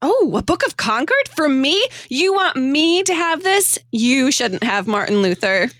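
Female comic skit player, very high animated voice, unhurried, scripted lines. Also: no room sound. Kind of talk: scripted comedy radio skit dialogue.

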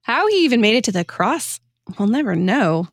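Woman playing a guilt-ridden, dramatic man, swinging pitch. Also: sing-song, moderate pace, no echo, studio quality. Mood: despairing. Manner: dramatic, self-reproaching, casual modern slang. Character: guilt-ridden, despairing male disciple Peter in a sitcom. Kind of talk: radio sitcom acting.

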